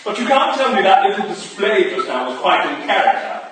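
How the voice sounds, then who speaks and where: A man playing a teasing, idle gentleman and charming flirt, wry and playful, in a staged reading of a period drama. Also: high voice, very animated, fairly quick. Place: staged reading in a large echoey room. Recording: echoey hall from far off, faint noise.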